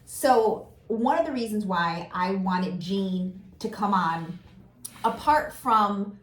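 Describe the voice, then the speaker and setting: A woman's voice: medium pitch, lively intonation, takes it slowly. A woman hosting a casual talk show, bubbly and chatty, laughing often. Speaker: host. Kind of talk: casual chat show interview. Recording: echoey room.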